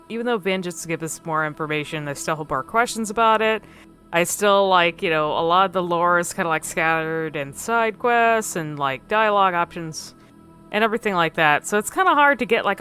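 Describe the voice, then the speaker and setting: Faint music plays behind a woman talking off the cuff about a game. Her voice sits a little low but has a bright edge. She speaks casually and hesitantly, meandering from thought to thought as she comments. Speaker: video creator. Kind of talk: casual unscripted gameplay commentary.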